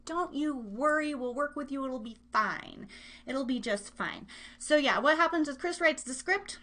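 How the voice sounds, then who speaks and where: A woman's voice: high-pitched, unhurried, sharp tone. A woman hosting an internet radio podcast, chatting casually to listeners in a rambling, self-deprecating way. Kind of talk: casual live chat to listeners.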